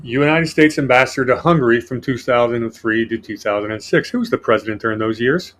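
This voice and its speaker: A man's voice: medium pitch, quick pace, a bit bright. A man hosting a casual political podcast, reading from his notes in a sardonic way. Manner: sardonic, reads notes aloud. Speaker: podcast host.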